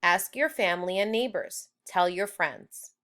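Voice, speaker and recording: A woman's voice: fairly low pitch, measured pace, a bit bright. Encouraging male schoolteacher, read by a woman. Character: encouraging male third-grade teacher.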